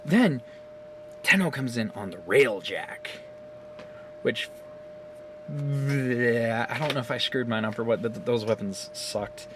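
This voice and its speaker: A man's voice: medium pitch, lively intonation, slow, pauses often, noisy background. A man recording himself on his phone camera at home, giving a casual, rambling and profane recap of a game's story, laughing often. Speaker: gaming video creator.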